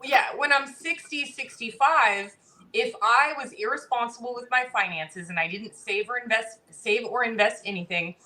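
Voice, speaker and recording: A woman in her forties speaks in a bright, pushing tone. She is blunt and emphatic, and she hammers her points with repetition in a casual interview. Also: fairly high pitch, normal speed, low-quality recording. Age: 40s. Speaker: interview guest.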